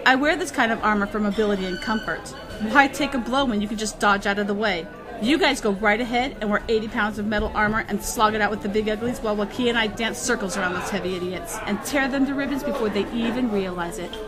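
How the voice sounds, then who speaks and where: A woman's voice: medium pitch, fast talker, crowd noise, natural voice. A woman acting a boastful, sexy female sword fighter in a comic fantasy audio drama, with a bold and blunt delivery. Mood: confident, boastful. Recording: music under the voice.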